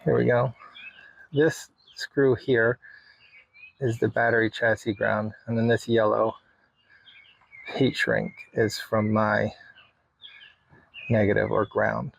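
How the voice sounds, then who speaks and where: A man hosting a casual hobby walkthrough, explaining things in a halting way with pauses mid-sentence. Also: on the low side, level tone, gravelly, dry recording, low-quality recording. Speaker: hobbyist video host. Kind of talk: casual hands-on walkthrough to camera. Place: in and around a parked vehicle.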